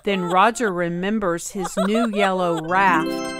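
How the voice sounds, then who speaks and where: A woman reading a rhyming storybook aloud for children in an expressive, sing-song way. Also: mid-range voice, slow. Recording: music playing behind the voice. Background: clear background music.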